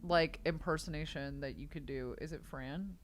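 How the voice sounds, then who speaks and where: A woman's voice: low-pitched, moderate pace, slight background noise. A woman hosting a casual comedy podcast, riffing playfully in conversation. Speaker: podcast host.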